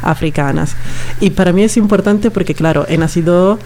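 A woman's voice: fairly low pitch, normal speed, a little mellow, slight background noise. A woman from Italy speaking Spanish with an Italian accent, telling her story casually as an interview guest. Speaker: interview guest, collective member. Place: radio studio.

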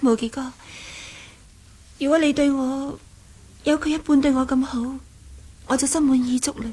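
A woman's voice: high-pitched, steady tone, normal speed, mellow, close to an everyday voice. A woman with a Hong Kong Cantonese accent playing a jealous, reproachful young martial-arts heroine in a radio drama.

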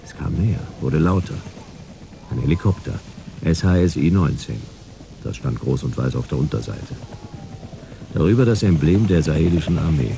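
An actor playing a wry, jaded private detective in a noir radio play, laconic and sardonic in clipped sentences. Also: male voice, rumbling low, lively intonation, normal speed, vocal fry, long pauses, music in the background, exaggerated voice. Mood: dry, sardonic. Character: jaded, wry male private detective narrating his case.

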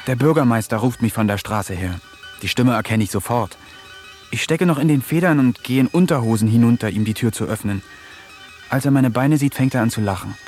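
A man's voice: medium pitch, a little mellow, natural voice. An actor playing an eccentric young poet who narrates his diary in a dry, ironic manner in a radio play. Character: eccentric young male poet, wants to talk with animals.

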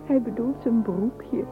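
A woman playing a dreamy, girlish teenager among friends in a radio play. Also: fairly high pitch. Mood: teasing. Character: dreamy, giggly teenage girl chatting with friends. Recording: tinny old radio recording, music behind.

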